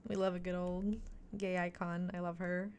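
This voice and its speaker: Chatty woman streamer, lowish voice. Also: little pitch movement, moderate pace, darkish tone, a little hiss or hum. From Montreal, Quebec, Canada. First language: French. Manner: chatty, thinking aloud, self-deprecating asides. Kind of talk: casual chat while gaming.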